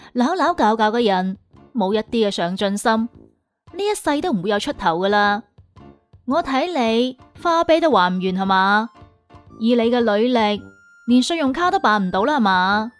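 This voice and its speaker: A woman's voice: fairly high pitch, expressive, moderate pace, faint music behind, natural voice. A woman playing a haughty, scathing female job interviewer in an audio drama, lecturing with cutting contempt. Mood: scornful. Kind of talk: Cantonese audio drama acting.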